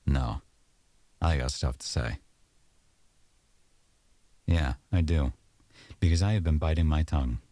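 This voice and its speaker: Man acting a very deep-voiced, fed-up boyfriend. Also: unhurried, pauses often, dark tone, no echo. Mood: indignant. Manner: accusatory, exasperated, scornful. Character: aggrieved, sarcastic boyfriend in a heated domestic argument. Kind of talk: boyfriend ASMR audio roleplay.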